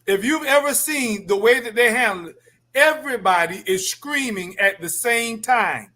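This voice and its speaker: A man moderating a panel discussion, addressing the guests directly in an animated way. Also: extremely high pitch, normal speed.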